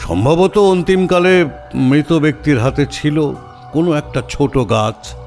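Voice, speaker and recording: An actor playing a pompous, arrogant, portly police inspector in an audio drama, with a booming, blustery voice and haughty delivery. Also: male voice, a little mellow, faint music behind. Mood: grudging, pondering. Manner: haughty, theatrical, drawn-out questioning.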